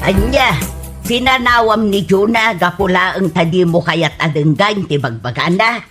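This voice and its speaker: A man putting on a nagging, suspicious old mother in an Ilocano comedy drama. The voice is high and sharp, scolding slowly with stretched-out exclamations. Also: soft background music.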